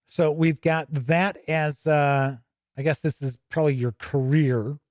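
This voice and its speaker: Higher-voiced man, casual rambling gaming host. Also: steady tone, measured pace, dry recording, clean studio sound. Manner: rambling, thinking aloud, trailing into asides. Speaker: host of a tabletop gaming review show. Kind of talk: casual live commentary while paging through a rulebook.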